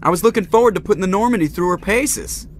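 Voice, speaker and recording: A man's voice: high voice, fast, slight background noise, close to an everyday voice. An actor voicing a cocky, wisecracking male starship pilot in a video game, wry and sardonic. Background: game music.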